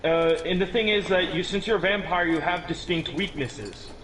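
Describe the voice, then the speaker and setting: A teenage boy talks through a game on a voice call, stopping and restarting as he goes and chuckling at his own remarks. His voice sits a little high, and a faint hiss runs under the call. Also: moderate pace, a bit bright, rough recording. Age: teens. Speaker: guest explaining a game build. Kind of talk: casual gaming chat.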